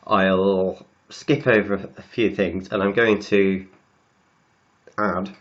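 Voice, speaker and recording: A man with a cold, croaky and sniffly, working slowly through textbook maths and often repeating words as he writes. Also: deep voice, flat delivery, a bit bright, lo-fi sound. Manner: halting, repeats words while writing. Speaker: maths tutor.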